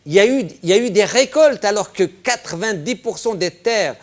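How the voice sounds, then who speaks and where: High-pitched, animated, insistent male debater. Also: moderate pace. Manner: emphatic, repeats phrases insistently. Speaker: panel guest on a political TV debate. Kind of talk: impassioned political discussion.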